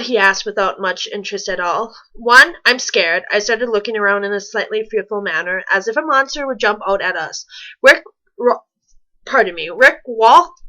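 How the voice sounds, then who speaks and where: A woman's voice: medium pitch, animated, normal speed, pushed and bright, lo-fi sound. A woman reading fan fiction aloud with sarcastic, incredulous casual commentary, stumbling over the text now and then.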